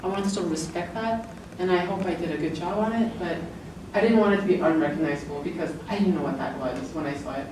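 A middle-aged woman giving an informal book talk, chatty and often laughing, lifting her pitch as she acts out quoted lines. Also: fast. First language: English. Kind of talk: informal presentation. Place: lecture room, indoors. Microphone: far camera in the room. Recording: roomy, distant camera audio with noise.

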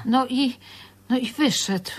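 Radio play: coarse, dreamy woman guard, high voice, unhurried, halting, colloquial, hopelessly in love. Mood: wistful. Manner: halting, repeats words, colloquial. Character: lovesick coarse female shop security guard, dreamy. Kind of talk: radio drama acting.

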